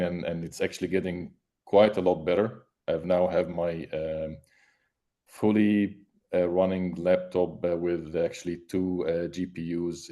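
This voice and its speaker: A man introduces himself on a video call. His voice sits very low, in a flat, mellow register. He talks in halting bursts and searches for words. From Egypt. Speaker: meeting participant, software developer.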